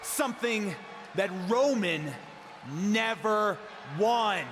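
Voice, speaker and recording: A bold champion wrestler addressing an arena in a game. He declaims very slowly with emphatic pauses and high pitch, in long reverb over crowd noise. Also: expressive, exaggerated voice. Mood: bold, defiant. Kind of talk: wrestling promo in video game cutscene.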